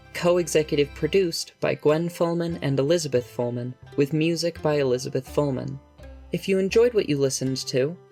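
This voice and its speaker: A speaker reading podcast credits in a clear, even, scripted delivery. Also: mid-range voice. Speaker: credits announcer.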